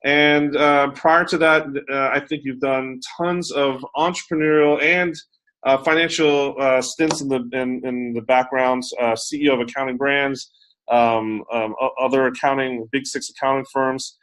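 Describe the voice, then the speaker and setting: A man's voice: medium pitch, steady tone, measured pace, fairly bright tone, low-quality recording. A man hosting a podcast interview in a casual, chatty way, with many ums and uhs. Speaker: podcast host.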